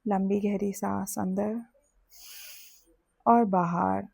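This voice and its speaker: An Indian-accented woman guides listeners toward sleep. Her delivery is extremely slow and soothing, with long pauses between short phrases. The voice has a slight creak and sits close and dry, with very little room sound. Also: mid-range voice, fairly even intonation, a little mellow, no echo. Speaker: hypnosis and meditation guide. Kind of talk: guided sleep hypnosis with body scan.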